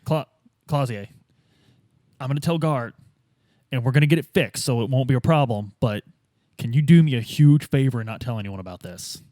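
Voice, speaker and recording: A man playing a tabletop roleplay, improvising a detective's lines in informal, expressive everyday talk. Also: mid-range voice, moderate pace, long pauses, no room sound, studio quality. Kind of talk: casual in-character improvised chat as a detective.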